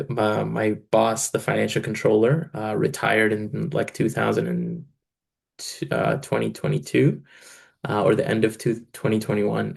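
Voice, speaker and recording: Guy from egypt, 30s, kinda low gravelly voice, chatty w lots of uhs. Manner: relaxed, many fillers, laughs between phrases. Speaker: church financial controller and bivocational pastor. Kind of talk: casual interview answers.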